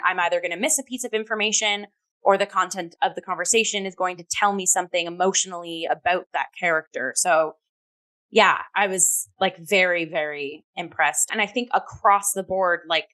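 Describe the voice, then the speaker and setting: A woman's voice: fairly low pitch, bright, forceful tone, poor audio. A woman co-hosting a theatre review show, talking casually and enthusiastically and peppering her speech with "like".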